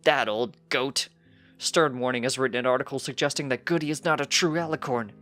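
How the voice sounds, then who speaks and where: A man voicing an excitable, protective father pony in a storybook, with a blustery, emphatic manner. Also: mid-range voice, fairly quick, soft background music, voice pushed up, close to an everyday voice. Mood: outraged.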